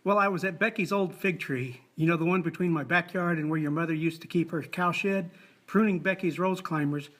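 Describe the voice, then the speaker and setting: A high-pitched male voice of an actor playing a trusting old judge. He speaks in a folksy way, as a character in an amateur film. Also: brisk, fairly bright tone. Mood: genial. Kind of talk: amateur scripted film dubbing.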